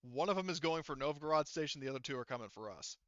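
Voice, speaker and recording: Bright-voiced man as halting game master. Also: a little high, animated, no echo, lo-fi sound. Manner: halting, filler-heavy, informal. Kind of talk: narrating a tabletop roleplaying game, improvised.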